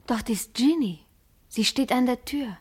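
An actress playing a guarded, self-conscious woman in a literary radio drama, speaking deliberately and poetically. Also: a little high, a little mellow, breathy edge. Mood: watchful.

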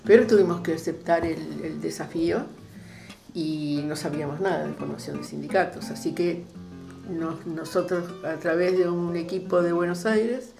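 A woman's voice: fairly low pitch, vocal fry. An older Argentine woman reminiscing conversationally in an interview, often repeating herself and checking with the listener. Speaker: interviewee, former radio drama maker. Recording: guitar music under the voice. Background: guitar music.

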